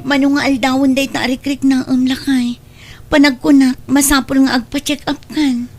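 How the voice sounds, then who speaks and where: A woman's voice: high-pitched, level tone, moderate pace, music in the background. A woman playing a hardworking, ambitious younger sister and wife in a family radio drama, earnest and respectful. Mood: worried. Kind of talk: Ilocano radio-style family drama acting.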